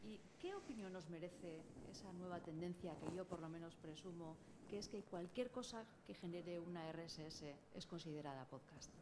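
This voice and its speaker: A woman's voice: lowish voice, normal speed, hardly any pauses. A woman from putting a spontaneous question from the audience into a microphone. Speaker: audience member asking a question. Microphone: microphone passed to audience. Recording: clearly noisy live event room. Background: clear ambient noise.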